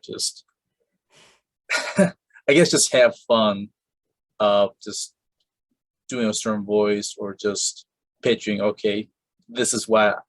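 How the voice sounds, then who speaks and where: A man hosts a casual interview, his voice low and his delivery unhurried. He rambles, restarts his sentences and leans on fillers. The mic is close and dry, with no room sound. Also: no echo, poor audio. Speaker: podcast host interviewing a voice actress.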